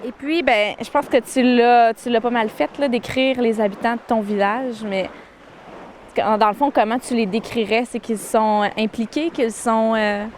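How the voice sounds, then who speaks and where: A woman's voice: fairly high pitch, steady tone, unhurried, a little hiss or hum. A woman hosting a local TV show, asking friendly, chatty interview questions on first-name terms. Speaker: TV host, interviewer.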